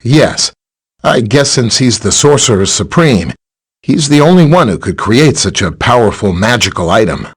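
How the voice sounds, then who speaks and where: Eager, husky scientist hero, lively pitch. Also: male voice, normal speed. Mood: explanatory, earnest. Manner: chatty, polite, expository. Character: friendly, helpful male scientist superhero, earnest and eager. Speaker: voice actor in a video game. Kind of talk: scripted video game character dialogue.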